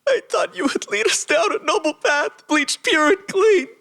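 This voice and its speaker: An actor voicing an anguished, fanatical man who feels betrayed, breathy and breaking, in a video game cutscene. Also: very high voice, animated, moderate pace, bright, forceful tone, exaggerated voice. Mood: anguished, fervent.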